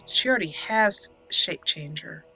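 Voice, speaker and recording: A woman's voice: on the low side, lively intonation, measured pace, vocal fry, sharp tone, slightly rough, low-quality recording. A woman giving casual let's play commentary, chatting and thinking aloud as she plays. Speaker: gaming channel host and player. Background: game music.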